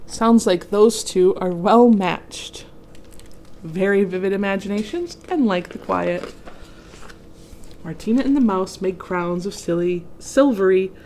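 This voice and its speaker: A woman's voice: medium pitch, a little mellow, slight background noise. A woman reading a children's picture book aloud expressively, adding chatty asides to young listeners. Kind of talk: children's storybook read-aloud with casual asides.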